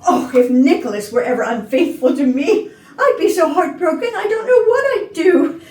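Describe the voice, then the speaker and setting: A doting, effusive wife played by a woman with a sweetly jealous streak, from a stage comedy. Her high voice swoops around, heard from far off in a roomy space. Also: animated, normal speed, a little mellow. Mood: dramatic, sweet. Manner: gushing, effusive. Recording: echoey rehearsal room from far off.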